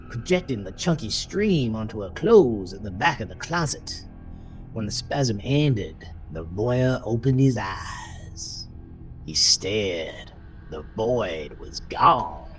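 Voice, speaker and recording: A man narrates a horror tale with theatrical suspense, taking his time over each phrase. His pitch moves dramatically up and down, and music plays clearly underneath him. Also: a bit bright, poor audio. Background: prominent music bed.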